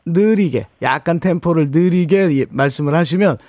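A man co-hosting a radio show, coaching a caller on pitch and diction with emphatic demonstrations and frequent laughs. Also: moderate pace, a little mellow, no room sound, studio quality. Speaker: radio co-host and vocal coach.

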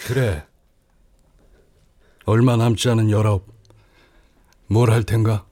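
A man playing a gruff, world-weary old doctor. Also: on the low side, steady tone, slow pace, mellow. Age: late 50s. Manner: gruff, dry, plainspoken.

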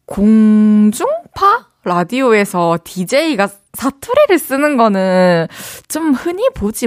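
A woman's voice: medium pitch, a little mellow, no echo. A woman radio DJ with a southeastern Korean dialect, chatting and laughing as she reads listener messages in a studio. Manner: chatty, friendly, laughs often. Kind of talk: reading and answering listener messages. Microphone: studio microphone.

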